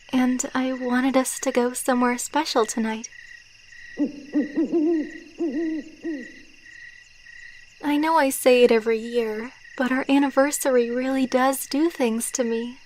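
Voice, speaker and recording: ASMR roleplay: actress as female vampire lover, ageless and sentimental. Fairly high, gentle, intimate delivery, taken very slowly. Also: fairly even intonation, a little hiss or hum, rough recording. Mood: tender, sentimental. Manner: soft, intimate, unhurried, sentimental. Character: tender, wistful immortal female vampire girlfriend.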